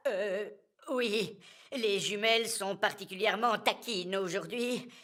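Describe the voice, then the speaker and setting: A woman's voice: medium pitch, a little slow, fairly bright tone, natural voice. A woman playing a polite, regal fairy royal in a French cartoon dub. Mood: embarrassed, polite.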